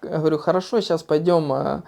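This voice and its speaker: A man from Ukraine, a soldier, recalling a combat operation in a casual, swearing, halting interview manner. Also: fairly high pitch, steady tone, gravelly, darkish tone. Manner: casual, profane, halting with fillers. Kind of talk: interview recollection.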